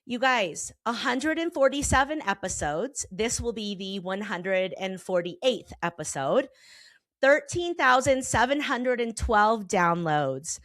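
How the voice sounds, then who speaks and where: A slightly hoarse woman in her forties, a therapist hosting her own podcast, talking in a candid, emphatic way with casual swearing. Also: a little high, animated, measured pace, fairly bright tone, dry recording. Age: 40s. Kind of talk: personal podcast monologue. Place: Colorado.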